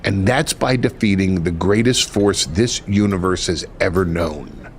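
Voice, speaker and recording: An actor playing a sly, grandiose retired wrestling manager in a video game, with ominous, theatrical delivery and deliberate dramatic pauses. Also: male voice, low voice, a little hiss or hum. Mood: grave, ominous. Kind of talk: video game cutscene dialogue.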